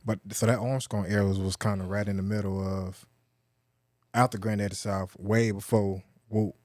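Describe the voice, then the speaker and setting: Low-voiced laid-back male interviewer. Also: level tone, moderate pace, a little mellow, dry recording, clean studio sound. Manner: laid-back, prompting with slang. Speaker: interviewer host. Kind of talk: casual interview chat.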